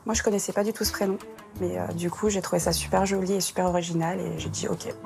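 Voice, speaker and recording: A woman in her thirties, an expectant mother, chatting in a lively, expressive way. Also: lowish voice, quick pace, vocal fry, music in the background. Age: 30s. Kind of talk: casual interview chat.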